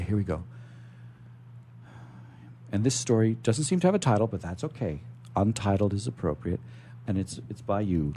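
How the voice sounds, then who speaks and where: A man hosting a radio show, chatting and reading aloud in a rambling, halting way, often repeating words. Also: fairly low pitch, mellow, a little hiss or hum. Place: radio studio. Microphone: studio microphone.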